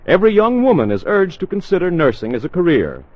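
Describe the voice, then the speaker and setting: A man's voice: sits high, very animated, quick pace, highs cut off. An American man reading a script as a 1940s radio announcer, formal and carefully enunciated, on an old broadcast recording.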